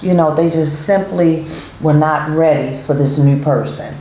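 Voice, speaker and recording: A woman's voice: low-pitched, unhurried, dark tone, echoey room, a little hiss or hum, rough recording. A middle-aged woman hosting a talk show, chatting casually with guests, with many fillers and repeated yeahs. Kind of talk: casual interview chat.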